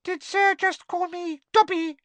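A man voicing a tiny, timid female house elf from a storybook, with a quivering squeak and meek, servile broken grammar. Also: level tone, unhurried, sharp tone, dry recording, heavily acted. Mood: timid, awestruck.

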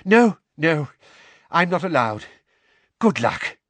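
A man's voice: fairly high pitch, very animated, fairly bright tone, no echo, pitched above the performer's own voice, exaggerated voice. A man doing a harried, breathless wizard official. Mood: urgent, breathless. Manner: urgent, breathless, hurried. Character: harried middle-aged male wizard official, anxious.

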